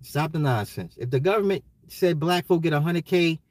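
American male livestream host, fairly high voice, close dry sound, emphatic and slangy, slightly hoarse. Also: moderate pace, a little mellow, no room sound. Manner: emphatic, repeats phrases, slangy, swears, chuckles. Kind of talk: casual opinionated livestream rant.

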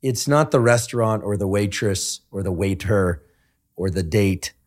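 A man's voice: lowish voice, a little slow. A male podcast host talking casually and thoughtfully about food and learning, with a few restarts. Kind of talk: casual conversation.

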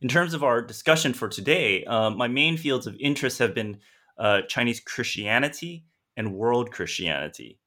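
A man from Southern California, a guest academic giving thoughtful, steady interview answers with frequent fillers. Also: mid-range voice, moderate pace.

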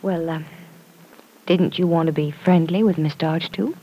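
An actress playing a gentle, guarded but kind young woman in an old-time radio drama, speaking politely and softly. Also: lowish voice, steady tone, a little slow. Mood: guarded, doubtful. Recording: noisy vintage radio show recording.

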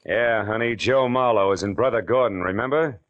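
An American actor playing a wry, hard-boiled private detective in a 1940s radio drama, sardonic and slangy in clipped phrases. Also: male voice, fairly low pitch, moderate pace, lo-fi sound, lightly acted. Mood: sarcastic, pressing. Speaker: lead actor and narrator. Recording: vintage radio drama with music behind.

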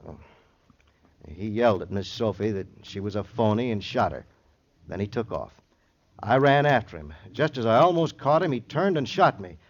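A man's voice: lowish voice, animated, normal speed. An American actor playing an evasive, streetwise male witness in a vintage radio crime drama, brash, slangy and rambling. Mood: defensive, rambling. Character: evasive, cocky, fast-talking wounded male witness, streetwise. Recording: muffled old radio recording.

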